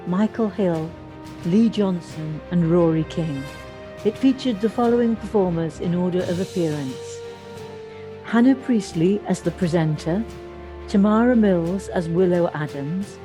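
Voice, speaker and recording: A woman presenter reading scripted credits in a measured announcing manner. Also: fairly low pitch.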